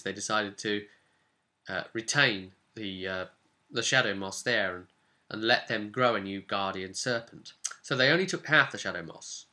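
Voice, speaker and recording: Bright, deep-voiced man telling stories. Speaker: game master recounting a tabletop campaign. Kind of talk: casual storytelling to camera.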